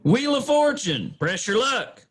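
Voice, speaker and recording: A man's voice: extremely high pitch, very animated, a little slow, fairly bright tone, breathy edge, full character voice. A man playing an evasive, pompous small-town lawyer in a comic radio drama, talking in wordy legal phrases. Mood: evasive, stubborn. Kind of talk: comic old-time radio drama acting.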